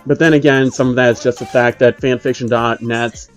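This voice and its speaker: A man's voice: mid-range voice, steady tone, a little fast, soft background music. A man narrating fan fiction in an amateur way, with casual spoken asides. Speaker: narrator reading fan fiction on his channel.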